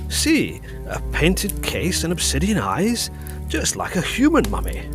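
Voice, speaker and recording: An actor playing a keen, learned gentleman fascinated by antiquities in a gothic audio drama, marvelling as he explains. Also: on the high side, a little slow, over music. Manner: marvelling, expressive.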